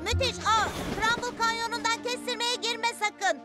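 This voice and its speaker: A woman voicing a kind, friendly young male steam engine in a children's cartoon dub, gentle and earnest. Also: very high-pitched, steady tone, moderate pace. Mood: friendly, upbeat. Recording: music behind the voice.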